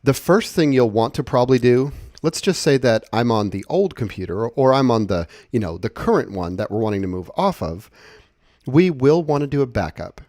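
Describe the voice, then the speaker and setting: A man's voice: medium pitch, very animated, normal speed, darkish tone, no echo. Casual man presenting a dry-sounding tutorial. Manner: informal, conversational, explains step by step. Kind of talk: casual screencast walkthrough.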